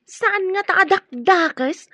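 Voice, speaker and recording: A woman's voice: very high voice, expressive, takes it slowly, pushed and bright, no echo, heavily acted. A woman playing a chatty, frank friend who teases and argues back in an Ilocano radio drama. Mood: frank, teasing.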